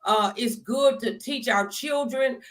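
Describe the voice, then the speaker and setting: A woman with a slightly high voice hosts a conversational faith show. She talks in a folksy, preacherly way, like someone used to encouraging a congregation. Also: takes it slowly, a bit bright. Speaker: talk show host.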